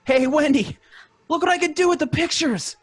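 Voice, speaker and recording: A woman voicing an eager, excited young boy in a live radio play, talking in a bright, breathless way. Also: sits high, moderate pace, dry recording, close to an everyday voice.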